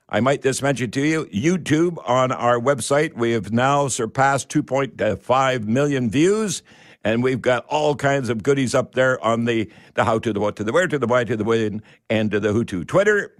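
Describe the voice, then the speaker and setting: A man's voice: mid-range voice, dry recording, studio quality. A man hosting a live radio call-in show in a folksy manner, repeating his catchphrases.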